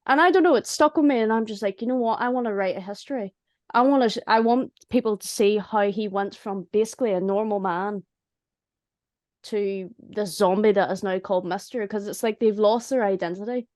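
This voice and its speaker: A woman's voice: medium pitch, a little fast, a little mellow, dry recording, studio quality. A woman chatting casually and enthusiastically in a colloquial way, as a creator on a panel. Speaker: audio drama creator on a panel. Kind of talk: casual chat.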